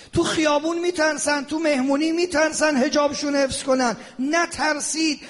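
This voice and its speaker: A man with an Iranian Persian accent preaching a fiery, emphatic sermon, repeating phrases insistently and asking rhetorical questions. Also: very high-pitched. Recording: long reverberant hall sound.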